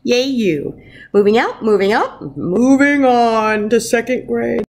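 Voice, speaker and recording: A woman in her thirties with a fairly high voice speaks like a primary school teacher addressing small children. Her delivery is slow, expressive and encouraging. She sounds informal, as if recording a message on a webcam at home. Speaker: first grade teacher. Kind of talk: warm informal message to pupils and picture-book read-aloud. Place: wood-ceilinged room at home, indoors. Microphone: webcam at arm's length.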